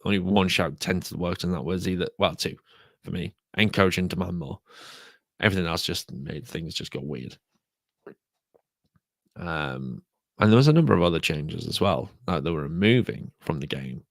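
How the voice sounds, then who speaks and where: Brit guy doing his podcast with a cold, croaky, rambly, dry sounding room. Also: deep voice, moderate pace, dry recording. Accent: British English. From United Kingdom. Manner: rambling, repeats words. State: sore throat, croaky. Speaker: podcast host. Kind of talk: solo podcast talk.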